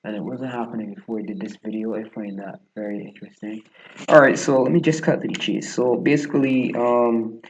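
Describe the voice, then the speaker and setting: A man's voice: medium pitch, fairly even intonation, brisk, dull audio. A young man in his twenties with a Barbadian accent, talking casually while he plays a game, wandering through his thoughts with lots of fillers and little chuckles. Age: 20s. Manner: meandering, filler-heavy, chuckling asides. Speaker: gaming vlogger. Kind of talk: rambling casual commentary while gaming. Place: small room at home, echoey.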